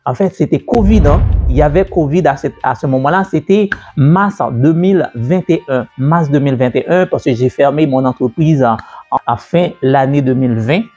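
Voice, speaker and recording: Man, entrepreneur vlogger, warm husky voice, dull lo-fi sound with faint music behind him. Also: on the high side, normal speed. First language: French. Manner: conversational, repeats himself, many fillers. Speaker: entrepreneur and business coach. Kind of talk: personal story told to camera.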